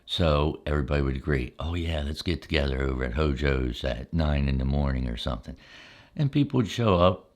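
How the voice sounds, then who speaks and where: An older man reminiscing in a relaxed, casual ASMR style, speaking in unhurried, halting phrases with folksy asides. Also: rumbling low. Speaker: ASMR storyteller.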